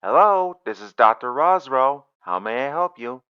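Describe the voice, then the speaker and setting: A man doing a loud, bossy doctor. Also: medium pitch, lively intonation, normal speed, clear, resonant tone, dull audio, voice pushed up, natural voice. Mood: brisk. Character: brisk, loud doctor on the phone.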